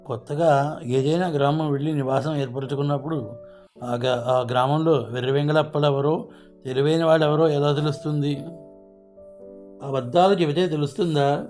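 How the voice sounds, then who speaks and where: A man's voice: a little high, steady tone, moderate pace, long pauses, a little mellow, soft background music, lightly acted. A man playing village folk in the scripted dialogue of a storybook moral tale. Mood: confronting. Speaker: voice of the story's characters.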